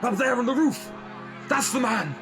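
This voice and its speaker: A man's voice: very high-pitched, lively intonation, moderate pace. A man playing a stern pursuer hunting a killer in an audio drama, urgent and commanding. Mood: urgent shouting. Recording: music behind.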